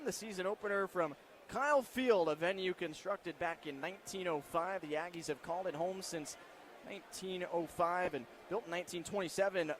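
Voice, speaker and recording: A man doing live radio play-by-play of American football, narrating the action in clipped present-tense phrases. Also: high-pitched. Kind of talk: live play-by-play sports commentary. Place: football stadium broadcast position. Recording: faint stadium background noise.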